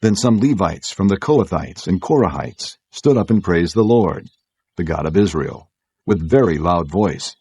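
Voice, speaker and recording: Deep, dark-voiced male Bible narrator. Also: animated, moderate pace, slightly rough, no echo. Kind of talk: scripted narration of an audio Bible.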